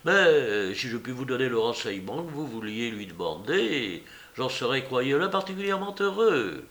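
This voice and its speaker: A man's voice: medium pitch, big pitch swings, moderate pace, a bit bright. A man voicing a cheeky, mischievous joker in an audiobook, with flowery speech and a teasing, mock-pompous manner. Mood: mock-courteous. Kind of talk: audiobook character voice.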